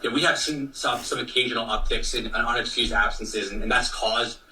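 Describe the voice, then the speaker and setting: A man giving careful, halting official answers in an interview, often repeating himself. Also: medium pitch, fairly even intonation, pushed and bright. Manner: halting, repetitive, careful phrasing. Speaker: official spokesman being interviewed. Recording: echoey, distant, faintly noisy interview clip.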